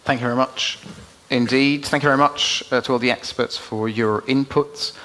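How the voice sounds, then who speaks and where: A man's voice: medium pitch, steady tone, normal speed, fairly bright tone, in a large hall, low-quality recording. A man in his thirties moderating a panel session, polite and a little hesitant as he hands the floor to speakers. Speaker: session facilitator. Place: conference room, standing at lectern.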